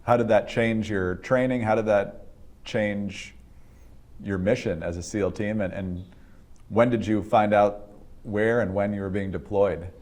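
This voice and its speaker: A male interviewer with a fairly low voice. He acknowledges each answer briefly and then moves straight to the next question. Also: steady tone, measured pace, darkish tone.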